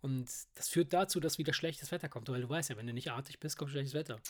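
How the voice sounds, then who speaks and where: A man's voice: medium pitch, quick pace, crowd noise. A man in his fifties chatting casually as a podcast co-host, with a jokey, crude sense of humour. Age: 50s. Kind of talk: casual chat.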